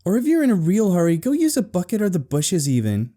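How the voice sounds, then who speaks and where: A man's voice: high-pitched, wildly swooping pitch, fast talker, dark tone, voice pushed up. A man voicing an irritable, bossy older sister in a horror story, grumpy, defensive and dismissive. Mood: grumpy yelling.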